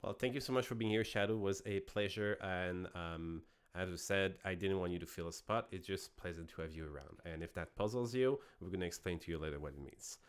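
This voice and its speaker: A man's voice: deep voice, a little fast, hardly any pauses, clean tone. A man with a French Canadian accent hosting a casual online debate show, rambling with frequent restarts.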